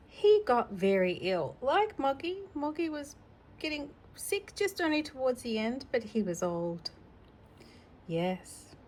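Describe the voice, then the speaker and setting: Slow-paced woman reading a storybook aloud. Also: on the high side, darkish tone. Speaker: storybook reader. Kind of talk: informal storytime read-aloud with personal asides to a child.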